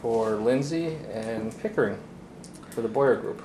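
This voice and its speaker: A man's voice: mid-range voice, unhurried, a little mellow, reverberant, a little hiss or hum. Man announcing a group recording. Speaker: trainer filming the group.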